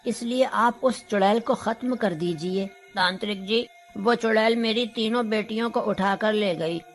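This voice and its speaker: A woman voicing a distressed villager who pleads and laments in a dramatic moral story. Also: a little high.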